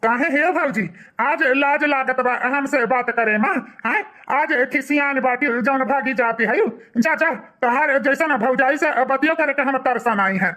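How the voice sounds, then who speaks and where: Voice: sits high, normal speed, sharp tone. A performer voicing a bitter, outspoken young village man in a cartoon moral story, taunting and reproachful, with an Awadhi accent.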